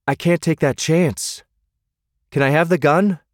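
A man's voice: a little high, measured pace, no echo, clean studio sound, pitched above the performer's own voice, close to an everyday voice. A man voicing a desperate, strained young man in an audiobook. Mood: pleading. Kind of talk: audiobook character dialogue.

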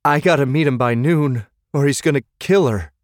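A man's voice: mid-range voice, moderate pace, no room sound, clean studio sound, pitched above the performer's own voice, natural voice. A man voicing a desperate, strained young man in an audiobook. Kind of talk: audiobook character dialogue.

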